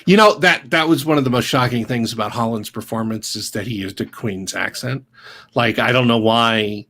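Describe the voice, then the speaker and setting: A man of average pitch, a game designer guesting on a livestream. He talks bluntly and wryly, with firm opinions. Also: moderate pace, bright, forceful tone. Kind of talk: casual livestream chat.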